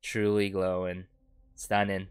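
A man's voice: deep voice, monotone, slow pace, clean tone. A young man in his twenties hosting a casual music video reaction from a home setup, chatty and full of rhetorical asides. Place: bedroom home studio, indoors. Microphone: headset headphones with a desk boom mic. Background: song playing faintly.

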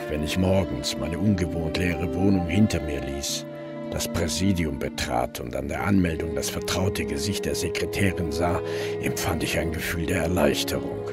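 A tired cop in his 40s narrating a crime radio play, super deep voice, sombre n measured, loud music behind. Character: weary divorced Swedish police inspector in his forties. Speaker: lead actor and narrator.

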